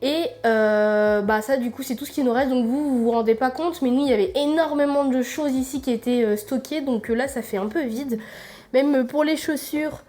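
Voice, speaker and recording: A young woman with a stuffy, cold-thickened voice chats to her camera as she shows round her home. Her voice sits a little high, and she rambles loosely, with plenty of filler sounds. It is an easygoing, homemade vlog recorded indoors. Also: moderate pace, warm tone, soft background music. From France. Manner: rambling, many fillers, gives a tour while tidying. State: congested with a cold. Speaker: vlogger. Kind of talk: casual vlog chat. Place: apartment indoors.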